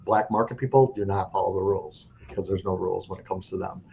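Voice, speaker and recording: A man's voice: low voice, steady tone, fast, creaky, a little mellow. Frank, rambling male coin dealer. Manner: frank, rambling, with many restarts. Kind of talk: casual interview talk. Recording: dull lo-fi audio with faint noise.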